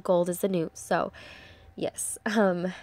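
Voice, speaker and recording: A woman's voice: lowish voice, fairly even intonation, slow pace, a little mellow. A young woman with a congested, stuffy voice, chatting casually through a craft tutorial voice-over, rambling with lots of ums. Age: young adult. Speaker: crafting channel host.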